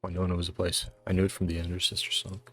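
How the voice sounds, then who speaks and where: A man casually thinking aloud as he hosts a guessing game video, halting and muttering to himself. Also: deep voice, completely flat delivery, sharp tone, no echo. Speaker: host of a geography guessing challenge video.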